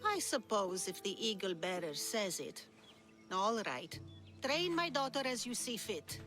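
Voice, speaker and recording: Game role: deferring, doubtful Spartan mother, expressive swings in tone, music clearly behind. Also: mid-range voice, a little slow. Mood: reluctant. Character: traditional Spartan mother, hesitant but deferring. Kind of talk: scripted video game cutscene acting.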